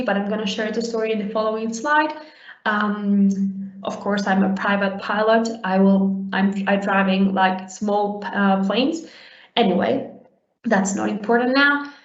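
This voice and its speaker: Higher-voiced woman in an echoey room. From Uruguay. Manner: conversational, many fillers and restarts. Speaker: conference speaker. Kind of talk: conference talk. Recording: roomy, dull lo-fi sound.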